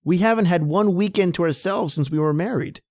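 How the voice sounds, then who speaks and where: A man's voice: sits high, a little fast, a little mellow, no echo. A man playing an impatient but soothing young husband in an old-time radio drama, firm and reasoning. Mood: annoyed.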